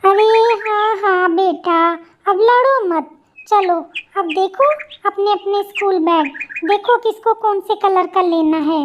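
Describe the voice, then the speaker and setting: A woman's voice: very high-pitched, slow, muffled recording, slight background noise. A woman voicing a caring, patient mother bird with a soothing, motherly manner in a cartoon story.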